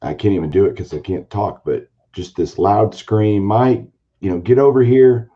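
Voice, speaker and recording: A man hosting a casual card-collecting chat show, telling a long story in a rambling, animated way with a hoarse, raspy voice. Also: fairly low pitch, moderate pace, highs cut off. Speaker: podcast host, baseball card collector.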